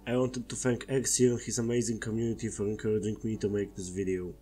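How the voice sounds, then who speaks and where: A man's voice: fairly low pitch, flat delivery, fast talker, warm tone. A man with a Polish accent, a non-native English speaker, narrating a scripted video essay. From Poland. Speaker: video creator. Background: background music.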